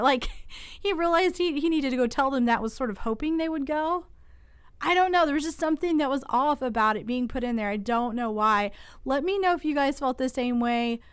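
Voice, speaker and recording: A woman's voice: high voice, clean tone, dry recording. A woman hosting a casual TV recap channel who is getting over a cold, talking in a chatty, opinionated way and asking viewers to weigh in.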